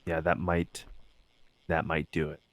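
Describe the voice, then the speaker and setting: A man with a deep voice chats casually as a podcast co-host. He speaks conversationally, and the sound is dry, with hardly any room around it. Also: completely flat delivery, measured pace, dry recording. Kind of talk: casual chat.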